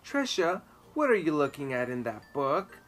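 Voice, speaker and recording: A man voicing mocking schoolchildren in a storybook, loud and jeering. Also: a little high, very animated, normal speed, voice pushed up. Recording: ambient noise behind voice.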